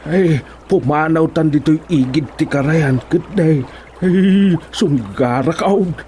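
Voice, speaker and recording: A man's voice: fairly high pitch, slow, dark tone, a little hiss or hum. A man with an Ilocano accent playing a hesitant, fretful cousin in a radio comedy drama.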